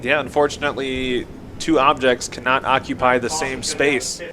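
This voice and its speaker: A man with a dry, measured announcing manner, giving live stock car race commentary. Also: medium pitch, level tone, crowd noise, low-quality recording. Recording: loud vehicle noise behind. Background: race cars and trackside noise.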